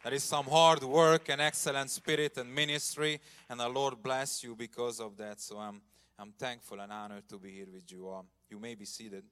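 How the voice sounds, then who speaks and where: A man's voice: medium pitch, fairly even intonation, normal speed, smooth. A middle-aged man from Hungary with a Hungarian accent, preaching as a guest in a church and sharing his personal testimony earnestly. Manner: earnest, gets loud and emphatic at points of praise. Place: church sanctuary.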